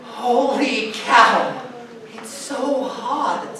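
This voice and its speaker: A woman's voice: a little high, very slow, a crowd in the background. A woman playing a flippant, mocking schoolkid who gossips with friends in a staged musical reading. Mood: worn out. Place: live staged reading in a hall. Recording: distant echoey stage recording, faint noise.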